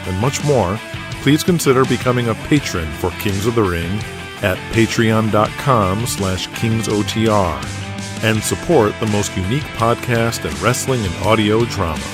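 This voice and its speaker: A man narrating a wrestling audio drama and reading its promo in a measured, scripted way. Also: on the low side, voice pushed down, lightly acted.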